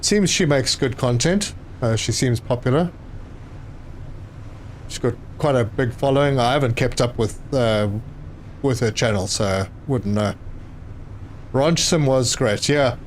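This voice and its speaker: A man, live streaming a game and chatting with viewers, often pausing with fillers. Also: mid-range voice, slight background noise. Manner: chatty, reads chat aloud, hesitant with fillers. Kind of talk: casual live stream commentary.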